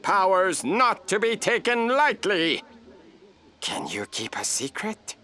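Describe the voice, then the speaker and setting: A man's voice: high-pitched, big pitch swings, takes it slowly, sharp tone, full character voice. A man playing a grave, secretive alien village elder in a video game, commanding and dramatic. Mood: secretive, solemn. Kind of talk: video game character acting.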